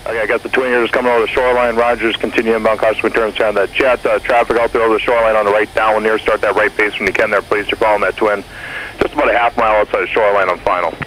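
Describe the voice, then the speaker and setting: Man, air traffic controller, clipped courteous directives, rapid delivery, aviation radio, faint noise. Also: fairly low pitch, level tone, a bit bright, poor audio. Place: airport tower radio, heard in a jet cockpit.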